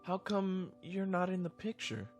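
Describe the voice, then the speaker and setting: A performer voicing a polite, apologetic young male pony in an animated fan drama, with a hesitant manner. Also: mid-range voice, unhurried, faint music behind, lightly acted. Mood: curious, polite.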